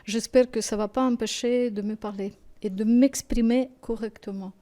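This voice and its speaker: A tired woman with a Ukrainian accent and a native Russian speaker's French, her voice mellow and pitched a little high. She talks haltingly and emotionally, searching for words after sleepless nights. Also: steady tone. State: exhausted and sleepless. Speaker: studio guest. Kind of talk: television interview.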